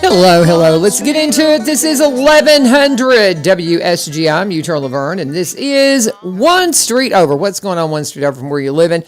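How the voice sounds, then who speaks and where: A woman's voice: lowish voice, big pitch swings, moderate pace, few pauses, soft background music. A woman hosting a local radio show in a studio, chatting casually and rambling with lots of restarts and fillers. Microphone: studio microphone.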